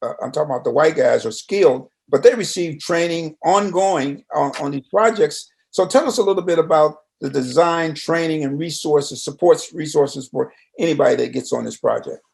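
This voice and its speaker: Very gravelly man interviewing conversationally. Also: a little high, moderate pace, hardly any pauses.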